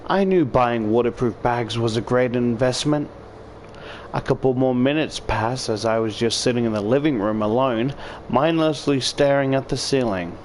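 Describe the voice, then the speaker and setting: A man's voice: mid-range voice, normal speed, slight background noise. A man with an Australian accent doing a casual, sweary let's-play, reading visual novel text aloud and commenting as he goes. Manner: sweary, informal. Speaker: video game streamer. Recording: music playing behind the voice.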